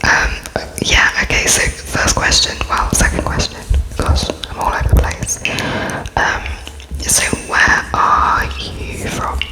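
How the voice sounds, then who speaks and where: A person speaking in a breathy, unvoiced whisper, hesitant and gently polite, playing an interviewer in an ASMR roleplay. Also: takes it slowly, low-quality recording.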